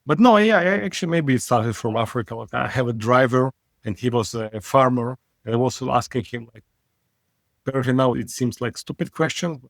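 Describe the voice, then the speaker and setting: A man's voice: mid-range voice, creaky, no echo. Wry man with English. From Israel. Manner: frank, wry, halting non-native phrasing. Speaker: company CEO guest. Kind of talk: casual interview talk.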